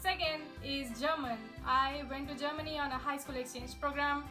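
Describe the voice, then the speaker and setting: High-voiced chatty woman. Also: steady tone, normal speed. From India. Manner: chatty, upbeat, talks straight to viewers. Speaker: language-learning YouTuber. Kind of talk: casual tips video to camera. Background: guitar music.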